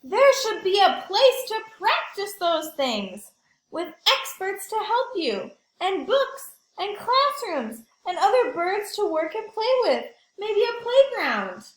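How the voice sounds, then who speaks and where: A woman's voice: extremely high pitch, very animated, slow pace, reverberant, voice pushed up, exaggerated voice, rough recording. A woman voicing a whiny, anxious, dramatic pigeon from a children's picture book, complaining with exaggerated emphasis. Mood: pleading, earnest. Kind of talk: animated children's picture book read-aloud.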